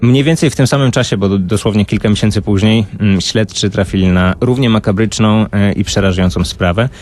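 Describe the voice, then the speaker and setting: A man's voice: fairly low pitch, fairly quick, darkish tone, a little hiss or hum. A man co-hosting a radio show, telling true-crime cases conversationally in a studio.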